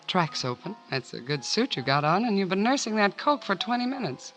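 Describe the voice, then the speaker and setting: An actress playing a brassy, flirtatious barroom dame in 1940s radio noir, teasing and slangy. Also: female voice, medium pitch, very animated, brisk, fairly bright tone, faint music behind. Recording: vintage 1940s radio recording.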